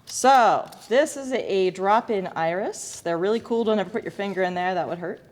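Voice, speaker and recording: A woman's voice: medium pitch, normal speed. A woman teaching a hands-on demonstration to students in an informal, self-correcting, good-humored way, as an instructor. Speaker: lighting design instructor. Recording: echoey reverberant hall, background noise.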